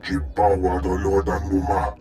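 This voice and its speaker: A man voicing a gruff alien in a video game, speaking an invented tongue slowly and deliberately. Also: sits low, completely flat delivery, dark tone, soft background music, lightly acted. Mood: imposing. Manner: slow, deliberate. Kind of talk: video game creature voice acting.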